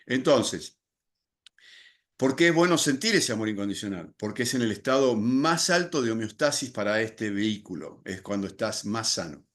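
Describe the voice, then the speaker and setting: A man's voice: on the low side, lively intonation, moderate pace. An older man from Argentina with an Argentine accent, speaking as a podcast guest. He explains his ideas in a reflective way, using fillers and restarting his sentences. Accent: Argentine Spanish. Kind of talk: podcast interview.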